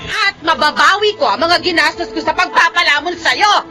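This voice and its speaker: An actress playing a cruel, greedy aunt who scolds and threatens in a Filipino radio drama. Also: female voice, very high-pitched, lively intonation, normal speed. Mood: scornful, menacing. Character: cruel, greedy aunt-guardian bullying a girl. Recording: music behind the voice.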